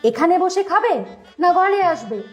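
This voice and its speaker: A woman's voice: very high voice, very animated, a little slow, faint music behind, exaggerated voice. A woman voicing a feisty, teasing young village bride-to-be in a children's cartoon, with a melodramatic manner. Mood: affectionate, teasing. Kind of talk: Bengali children's cartoon voice acting.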